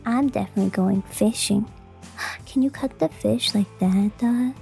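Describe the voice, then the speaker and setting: A woman's voice: medium pitch, measured pace, creaky, dark tone. A woman voicing an eager, curious young schoolgirl in an audio drama. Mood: eager, excited. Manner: eager, childlike. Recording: music under the voice. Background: music.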